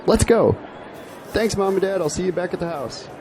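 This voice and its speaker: A man acting as an earnest young male graduate in an audio drama. His voice is soft-edged and mellow, set in a reverberant space with faint background noise. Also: sits high, lively intonation, moderate pace. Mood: casual, wry. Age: young adult. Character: earnest young male college graduate, later a weary diarist.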